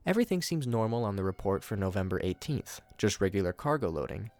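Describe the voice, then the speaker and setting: A man's voice: fairly low pitch, big pitch swings, fast, darkish tone, faint music behind. A man hosting a video, reading scripted narration mixed with his own commentary. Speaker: video host reviewing horror shorts.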